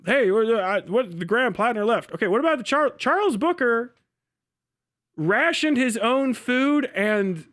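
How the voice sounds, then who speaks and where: A man's voice: high-pitched, a bit bright. A man giving casual, opinionated political commentary as a livestream host, blunt and full of swearing, rambling and restarting mid-thought.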